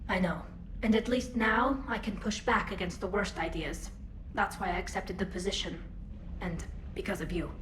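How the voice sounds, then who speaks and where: A woman's voice: mid-range voice, moderate pace, fairly bright tone, natural voice. An actress voicing an earnest, hesitant young alien woman, a self-doubting admiral in a video game cutscene. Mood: tender, hesitant. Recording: roomy echo with clear background noise.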